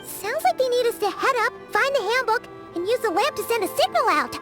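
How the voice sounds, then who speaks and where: A tiny, earnest fairy sidekick from a video game. The voice is extremely high, squeaky and childlike, excitable in its delivery, with faint music behind it. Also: female voice, a little fast. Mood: alarmed.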